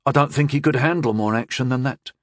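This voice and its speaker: A man's voice: mid-range voice, fast talker, no echo, studio quality, close to an everyday voice. A man voicing a bemused, irreverent knight in a fantasy audiobook, casual and mocking. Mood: casual, teasing. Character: bemused, irreverent knight, the narrator's amused comrade.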